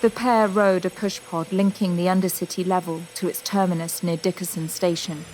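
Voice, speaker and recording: A woman's voice: lowish voice, steady tone, moderate pace, noisy background. A woman narrator reading scripted, descriptive narration for an audio drama.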